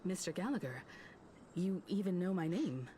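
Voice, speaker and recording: An actress playing a composed, polite, mature woman adventurer in a game, with a measured, formal delivery. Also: on the low side, big pitch swings, dark tone, breathy edge.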